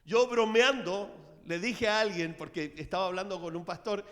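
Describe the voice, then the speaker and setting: A middle-aged man preaching a sermon in a church, telling the story with dramatic swings in loudness. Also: high-pitched, moderate pace. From Latin America. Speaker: pastor. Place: church sanctuary. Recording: reverberant church hall.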